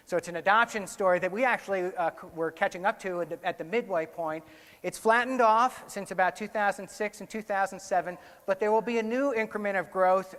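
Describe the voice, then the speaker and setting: A man's voice: high-pitched, a bit bright. A man with an American accent gives a conference keynote on research findings in a conversational way, often correcting himself. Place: indoor conference hall, on stage. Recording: echoey hall with long reverb.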